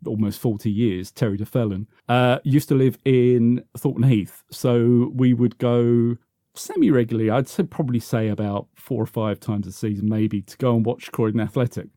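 A man's voice: mid-range voice, normal speed, warm tone, no room sound, clean studio sound. A man in his fifties with a London accent, reminiscing casually in an interview, hesitant and often correcting himself as he rambles along. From South London, England. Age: 50s. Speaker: interview guest, football podcaster.

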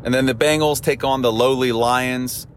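A man's voice: mid-range voice, fairly even intonation, normal speed, a little hiss or hum. Upbeat man hosting a sports podcast. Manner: upbeat, chatty analysis with a cheerful sign-off. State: voice temporarily husky and lower than his norm. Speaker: podcast host. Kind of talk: solo sports podcast commentary.

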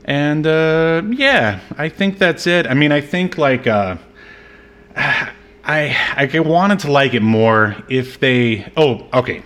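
A man's voice: fairly high pitch, takes it slowly, fairly bright tone. A man co-hosting a film podcast, chatting casually in a hesitant, wry, laid-back way. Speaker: podcast co-host. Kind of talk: casual film-review chat.

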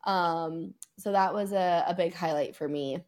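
A woman's voice: fairly low pitch, a little slow, darkish tone. A woman co-hosting a podcast in casual sports chat, speaking in an emphatic, opinionated way full of hyperbole. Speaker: podcast co-host.

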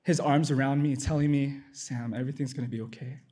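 A man's voice: medium pitch, steady tone, brisk, mellow, breathy edge. A man giving a personal testimony of faith, earnest and repeating phrases for emphasis. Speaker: testimony speaker.

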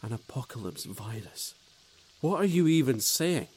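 A man playing a scared, halting spaceship crewman. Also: mid-range voice, animated, a little mellow, husky. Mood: anxious. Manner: anxious, halting, disbelieving. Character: frightened male crew member waking from stasis. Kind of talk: audio drama acting.

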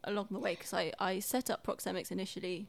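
A woman's voice: mid-range voice, fairly even intonation, fast, gravelly, no room sound. A woman talking casually and earnestly on a podcast, explaining things in an explanatory way.

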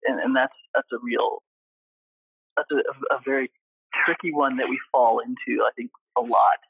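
A man speaking reflectively in conversation, halting and deliberate, repeating words as he thinks. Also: medium pitch, fairly even intonation, vocal fry, husky. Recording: thin, phone-like narrow band sound.

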